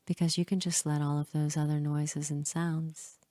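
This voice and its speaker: A woman leading a guided hypnosis meditation in a soothing, hushed manner, leaving long pauses between short phrases. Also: low voice, level tone, no echo.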